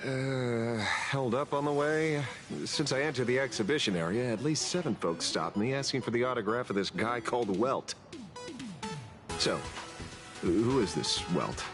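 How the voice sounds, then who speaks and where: An actor playing a roguish, amused, worldly muscle-for-hire in a video game, with a teasing, easygoing drawl. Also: male voice, mid-range voice, expressive, a little slow, over music, lightly acted. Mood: sly, casual.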